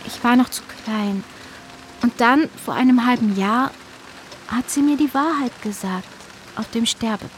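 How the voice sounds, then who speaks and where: A woman's voice: on the high side, moderate pace, slight background noise, natural voice. An actress playing a young woman, a long-lost sister turned up as a stranger, hesitant and intense, in naturalistic radio drama style. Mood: confessing, pained. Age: young adult. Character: long-lost abducted younger sister posing as drenched lost hiker.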